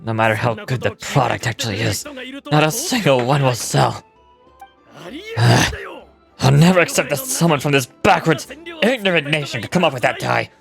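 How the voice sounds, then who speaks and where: Male merchant villain for a video game: pompous, scheming, theatrical persuader, mid pitch, clear music underneath. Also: lively intonation, normal speed. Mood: bitter.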